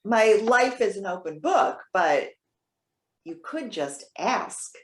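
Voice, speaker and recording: An actress plays a sharp, proud elderly woman who was once a social worker. She speaks articulately with wry, pointed wit at a middling pitch, in theater dialogue. The room adds a clear echo. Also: expressive, slow pace. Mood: wounded, sardonic. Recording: roomy echo.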